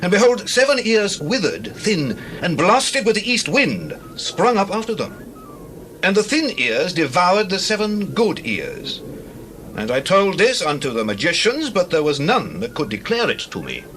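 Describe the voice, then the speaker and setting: A man's voice: sits high, wildly swooping pitch, measured pace, a bit bright, slight background noise, exaggerated voice, poor audio. An actor playing a troubled, imperious ancient Egyptian king in a dramatized scripture reading, formal and declamatory. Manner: formal, declamatory, archaic diction.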